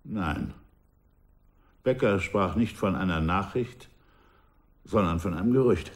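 An older man playing a former revolutionary in a radio drama, recalling his past in a measured, wistful way that often drops to a hush. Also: deep voice. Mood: reflective. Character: aging male ex-revolutionary, reflective and proud. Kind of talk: radio drama acting, reflective narration.

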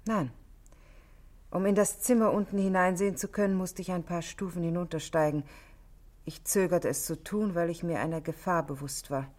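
An actress plays a married woman under police questioning in a 1960s German radio drama. Her voice is low for a woman. She stays controlled and matter-of-fact, setting out each detail with cool precision. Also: steady tone, normal speed, a little mellow, lightly acted. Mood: grave, recounting.

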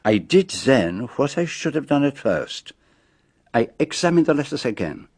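An actor playing a shrewd, self-assured male detective with a French-speaking accent, speaking in measured, pompous reveals in a radio drama. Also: mid-range voice, sing-song, darkish tone, slightly rough, no echo, clean studio sound. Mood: measured, triumphant.

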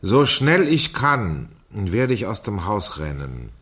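Very deep, slow, husky reciter. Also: male voice, sing-song, fairly bright tone, full character voice. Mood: deliberate, pointed. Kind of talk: 1970s German radio play, reading aloud.

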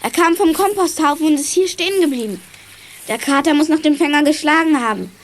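A woman playing a confident, brisk young kid detective in a children's audio drama. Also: high-pitched, a little hiss or hum. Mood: focused. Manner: brisk, matter-of-fact, assured. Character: confident young detective kid, eager tracker, chief sleuth.

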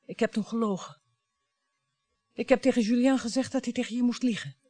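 An actress in a Dutch radio play playing a bitter, grieving mother who confronts her husband in a dramatic, halting, taunting way. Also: on the high side, warm tone, no echo, close to an everyday voice. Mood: bitter confessing.

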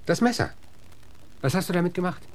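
An actor playing a gruff, hard-bitten American frontier lawman in a radio drama, blunt and terse as he interrogates. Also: high-pitched, lively intonation, a little fast. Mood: menacing.

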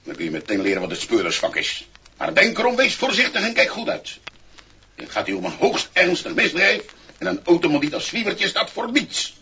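A man's voice: high voice, huge pitch swings, brisk, creaky, pushed and bright, heavily acted. An actor playing a bossy, pompous Dutch village policeman with a barking delivery in a 1960s radio play. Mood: pompous, instructing. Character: blustering, bossy male village policeman with a sabre. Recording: dull, faintly noisy old vinyl record.

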